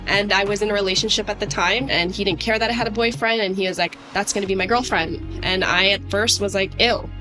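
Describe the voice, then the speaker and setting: A woman's voice: medium pitch, fairly even intonation, brisk, hardly any pauses, bright, forceful tone, poor audio. A woman telling a personal anecdote in a casual, conversational way. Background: music behind the voice.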